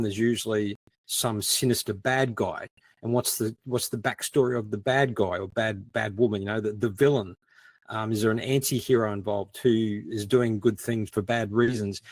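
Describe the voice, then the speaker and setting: A man's voice: fairly low pitch, no echo. A man in a casual panel discussion, explaining things and thinking aloud with plenty of "you know". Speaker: guest, crime fiction writer.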